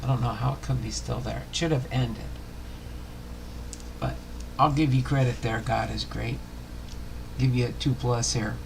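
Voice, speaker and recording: A man hosting a casual livestream, chatting and reading aloud in a halting, unpolished way. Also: medium pitch, fairly even intonation. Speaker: livestream host. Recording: clearly noisy room recording.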